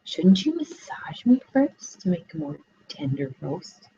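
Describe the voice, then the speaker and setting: A woman reading aloud and voicing a sly, cheerful little male piglet who talks in a sweet, wheedling, high voice. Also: big pitch swings, unhurried, dark tone, highs cut off, slight background noise.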